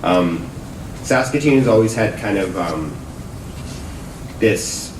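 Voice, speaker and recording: A man with a very low voice talks on a gallery panel, pausing often and restarting his sentences. His voice echoes around the room. He sits far from the mic, and steady noise runs underneath. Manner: halting, many fillers. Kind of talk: panel discussion. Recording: roomy, distant mic, noisy.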